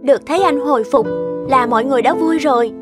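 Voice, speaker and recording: An actress playing a caring, worried middle-aged wife in a cartoon, with a gentle, soothing manner. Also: female voice, high-pitched, quick pace, over music, close to an everyday voice. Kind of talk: animated moral-tale cartoon dubbing.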